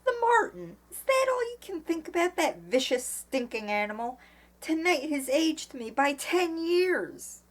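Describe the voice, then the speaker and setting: A woman voicing an indignant, sharp-tongued older woman in a storybook, scolding and emphatic. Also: on the low side, very animated, moderate pace. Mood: outraged.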